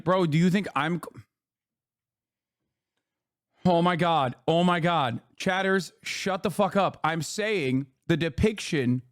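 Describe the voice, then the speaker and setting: Guy streamer ranting and cursing at a clip, higher voice, dead dry mic. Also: no echo. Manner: profane, mocking, shouting in outrage. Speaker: streamer reacting to a podcast clip. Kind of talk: heated live-stream commentary.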